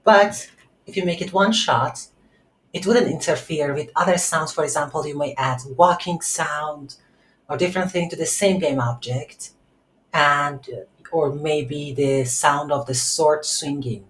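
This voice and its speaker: A woman giving an unscripted software tutorial as an instructor, halting and thinking aloud while she types. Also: low voice. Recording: roomy echo, faint background noise.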